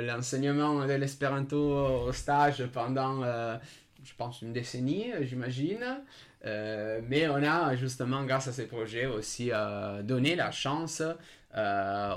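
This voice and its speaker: Italian guy with accent, talks real slow, hunting for words, unscripted chat, mid pitch. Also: fairly bright tone. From Italy. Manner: hesitant, searching for words. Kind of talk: unscripted discussion.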